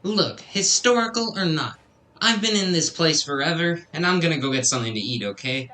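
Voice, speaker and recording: A man's voice: fairly high pitch, expressive, a little fast, sharp tone. A man playing a brash, slangy, flippant buddy in a radio drama. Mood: flippant, impatient. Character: brash, flippant young male buddy, food-loving. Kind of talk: scripted radio drama acting.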